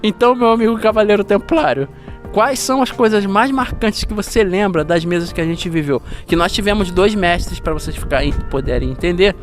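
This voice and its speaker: A Brazilian man with a fairly high voice hosts a relaxed chat from the stairwell of an apartment building. He keeps it upbeat and speaks directly to his audience, with soft music playing faintly behind him. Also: brisk. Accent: Brazilian Portuguese. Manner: upbeat, addresses viewers directly. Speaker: channel host. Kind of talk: casual chat with a friend.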